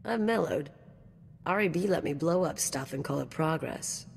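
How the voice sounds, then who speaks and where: Low-voiced, cocky female mercenary. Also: lively intonation, moderate pace, gravelly, darkish tone, long reverb tail, a little hiss or hum. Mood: reflective, wry. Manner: swaggering, teasing, casual and slangy. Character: brash, cocky female anarchist mercenary companion. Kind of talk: video game voice acting.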